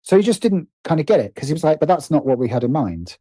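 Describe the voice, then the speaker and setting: A man with a soft, mellow voice talks as a guest in a relaxed interview. He works through his thoughts animatedly, stopping and restarting phrases. The sound is dry, with little room around it. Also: on the high side, husky, no room sound. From Britain. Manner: animated, halting, restarts and repeats phrases as he thinks aloud. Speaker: interview guest, writer and producer. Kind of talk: casual conversational interview.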